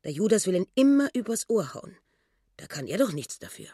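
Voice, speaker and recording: A man's voice: high-pitched, very animated, a little fast, mellow, breathy edge, no echo, studio quality, full character voice. A man acting in a radio drama, voicing a pious woman's simple, indignant thoughts in an emphatic, naive, moralizing way. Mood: pleading, indignant.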